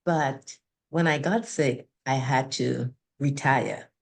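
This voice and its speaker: Slow-speaking Trinidadian older woman. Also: rumbling low. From Trinidad and Tobago. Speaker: guest. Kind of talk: interview conversation.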